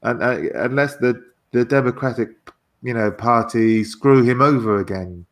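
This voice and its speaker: A man's voice: mid-range voice, moderate pace. A British man, a guest in a casual political chat, speaking hesitantly and often repeating words as he searches for the next one.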